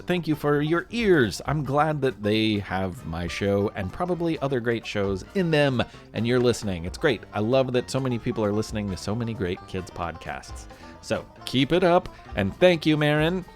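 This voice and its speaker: A man speaking casually and enthusiastically as a children's podcast host, with upbeat, animated delivery. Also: medium pitch, moderate pace, soft background music. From United States. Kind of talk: casual listener shout-outs.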